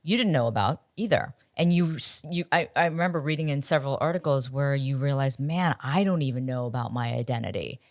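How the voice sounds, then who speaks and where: A woman's voice: extremely low pitch, lively intonation, a little fast, hardly any pauses, no echo, clean studio sound. A middle-aged woman from Ohio hosting a casual interview, often ending points with tag questions. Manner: conversational, tag questions, restarts. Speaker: host.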